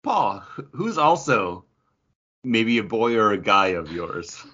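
A man with a husky, fairly low voice chats casually as a podcast co-host. The sound is somewhat dull and lo-fi. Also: big pitch swings, slow pace, a bit bright. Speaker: comic book podcast co-host. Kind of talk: casual chat.

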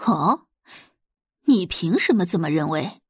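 A woman acts out a middle-aged, well-off wife and mother in an audio drama. She is exasperated and nagging, with pitch that swings widely and a slightly husky edge, recorded very dry. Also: animated, unhurried, no echo, full character voice. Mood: exasperated scolding. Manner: scolding, complaining, turning coaxing for money.

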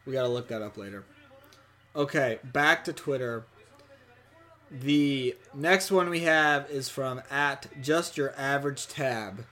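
A man co-hosting a casual podcast, reading listener messages aloud with upbeat shout-outs and easy laughter. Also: medium pitch, takes it slowly. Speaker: podcast co-host.

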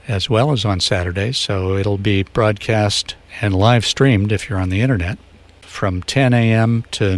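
An older man with a low, gravelly voice hosts a talk radio show. He speaks in a measured, deliberate way, like someone announcing and interviewing. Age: 60s or older. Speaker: radio show host and lawyer.

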